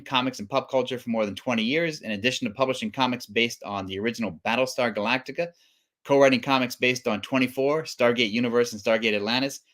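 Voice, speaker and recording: A man hosts a live comics stream as a guest presenter. His voice sits at an average male pitch, and he talks casually with wry, self-deprecating humor. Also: fairly bright tone. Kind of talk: casual live-show hosting.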